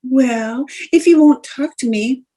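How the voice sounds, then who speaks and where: A woman's voice: high voice, slow pace, warm tone. A woman playing a playful, scheming housewife in old-time radio comedy, with a coaxing, theatrical manner.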